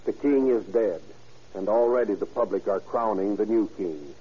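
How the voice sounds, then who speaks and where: A man's voice: lowish voice, moderate pace, warm tone, husky. A man with an American accent gives a solemn, formal scripted address in 1930s radio style, as a police chief. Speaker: police chief guest commentator. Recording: muffled vintage 1930s radio broadcast.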